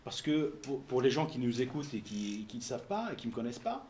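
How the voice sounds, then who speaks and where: A man's voice: mid-range voice, very animated, fast, a little mellow, roomy sound, slight background noise. A man who emigrated to France, hosting an interview in a casual, hesitant way, often saying "on va dire". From abroad, emigrated to France. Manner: informal, uses fillers like "on va dire", hesitant. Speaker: interviewer, podcast host.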